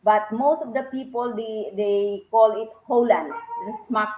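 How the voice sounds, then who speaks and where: A woman's voice: on the high side, a little slow, a little mellow. Filipina woman, eager, rambling panel guest on a video call, echoey room. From Philippines. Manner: enthusiastic, rambling with fillers and restarts. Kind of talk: casual online panel talk.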